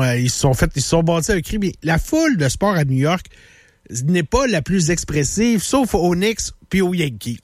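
A Quebec French co-host with a slightly raised voice who explains things at length in an agreeable way. The studio sound is dry. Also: male voice, a little high, no room sound. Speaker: radio co-host. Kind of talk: casual radio talk.

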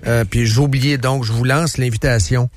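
A man's voice: medium pitch, steady tone, normal speed, no room sound. A man with a Quebec French accent hosting a radio show in a studio, talking in a chatty, emphatic way and repeating himself. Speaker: radio host. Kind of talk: casual radio talk.

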